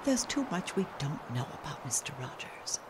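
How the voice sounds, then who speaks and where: A woman's voice: deep voice, big pitch swings, normal speed, noisy background. An actress playing an earnest, secretive amateur spy in a comic radio play, whispering her lines. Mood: hushed, serious.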